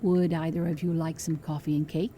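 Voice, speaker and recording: A woman's voice: low-pitched, little pitch movement, a little fast, dark tone, a little hiss or hum, natural voice. A woman playing a practical, hospitable farmer's wife in an audio drama, polite and plain-spoken. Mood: hospitable, matter-of-fact.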